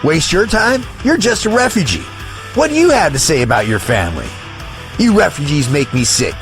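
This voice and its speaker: An actor playing a contemptuous, bullying landowner in an audio drama, blunt and demanding. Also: male voice, a little high, big pitch swings, moderate pace, over music.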